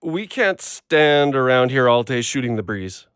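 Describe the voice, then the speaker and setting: A blunt, literal-minded dog owner played by a man in an audio play. The delivery is abrupt and guarded yet swings widely in pitch, in a dry, close recording. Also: big pitch swings, measured pace, a bit bright, smooth, dry recording. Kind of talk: audio drama acting.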